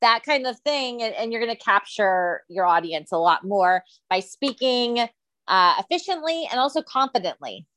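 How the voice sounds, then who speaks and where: A woman chatting casually as co-host, full of fillers and quick to laugh. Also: fairly high pitch, lively intonation, pushed and bright. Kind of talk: casual chat.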